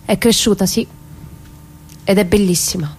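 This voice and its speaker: A woman's voice: mid-range voice, moderate pace, darkish tone, a little hiss or hum, lightly acted. An actress playing a proud, defiant mother fleeing her husband, in an emphatic theatrical style. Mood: defiant, scornful. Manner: emphatic, repeating words for force. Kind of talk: theatrical radio drama monologue and dialogue.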